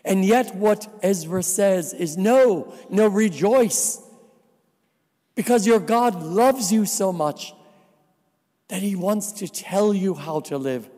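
A man from New Jersey preaching a sermon as a visiting priest, slow and deliberate with dry asides. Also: high-pitched, mellow. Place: church. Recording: reverberant church hall.

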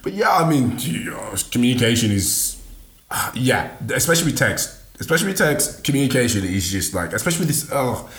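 A man's voice: mid-range voice, very animated, fairly bright tone. Nigerian-born guy chatting, lots of restarts and ums, sounds a bit roomy. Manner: halting, many restarts and fillers, laughs often. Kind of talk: casual chat. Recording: echoey room.

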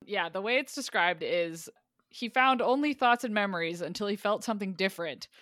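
A woman's voice: mid-range voice, fairly quick, fairly bright tone, dry recording, studio quality. A woman in casual book chat, reading passages aloud and making wry remarks.

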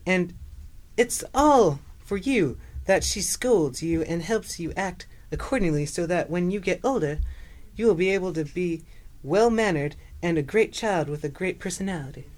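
Woman as a polite storybook panda fellow, kindly, reassuring, very lively intonation, children's audio tale. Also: fairly low pitch, moderate pace, close to an everyday voice. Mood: consoling. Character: kindly, courteous male panda guide, gently advising.

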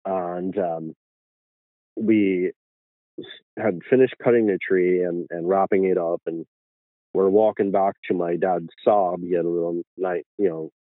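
A man with an Irish accent, a guest telling his story slowly with many fillers and restarts. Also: low-pitched, steady tone, dry recording, phone-quality sound. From Ireland.

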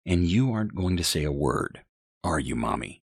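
A man's voice: rumbling low, sing-song, normal speed, gravelly, husky, dry recording, studio quality, exaggerated voice. A man voicing a malevolent baby with a mean grown man's voice in an audiobook, sinister and mocking. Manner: sinister, mocking, foul-mouthed. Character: demonic baby boy with a mean, adult man's voice.

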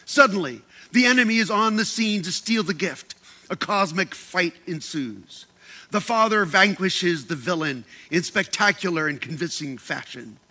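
Joking guy giving a talk into a handheld mic, high voice, keeps restarting his words. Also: lively intonation, normal speed, pushed and bright. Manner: playful, joking, often repeats and restarts words. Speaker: speaker addressing a group. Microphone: handheld microphone.

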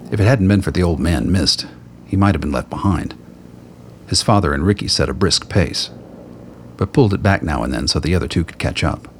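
A man's voice: deep voice, animated, fairly quick. A man reading scripted narration as the storyteller of a radio play. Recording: faint noise and ambience.

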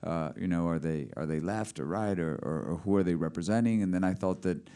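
Deep-voiced film director, thinking aloud. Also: male voice, a little fast, warm tone. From Colombia. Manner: thoughtful, repeats words while thinking. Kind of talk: post-screening Q and A.

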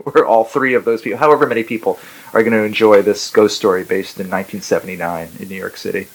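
A man, a guest poet on a podcast, talking in a halting, self-mocking way with a voice roughened and gravelly after a rough week. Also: lowish voice, steady tone, rough recording. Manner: dry self-mocking humor, halting with fillers. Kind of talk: casual podcast chat.

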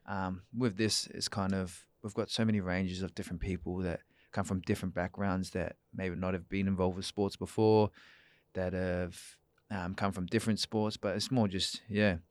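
A young man with a very low, smooth voice answers questions as a podcast guest. He speaks in a relaxed, easygoing way, full of fillers. The sound is dry and close. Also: dry recording. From New Zealand. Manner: relaxed, many fillers, laughs easily. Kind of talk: casual interview chat.

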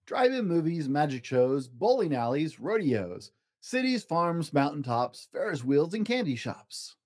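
A man's voice: on the high side, very animated, a little slow, a little mellow. A man voicing a cheerful, careful storybook toad who loves driving, in a rhythmic sing-song. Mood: carefree. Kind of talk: children's storybook read-aloud.

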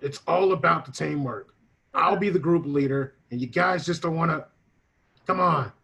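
Guy doing a bossy scarecrow supervillain, kinda high husky voice, improv comedy. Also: moderate pace, pushed and bright, exaggerated voice. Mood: frustrated. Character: pushy would-be leader scarecrow supervillain recruiter.

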